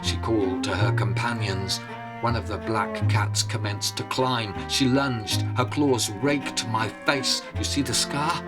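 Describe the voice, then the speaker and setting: A man telling stories in a dramatic, theatrical style with deliberate phrasing. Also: lowish voice, fairly even intonation. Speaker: storyteller. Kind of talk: dramatic oral storytelling. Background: prominent music.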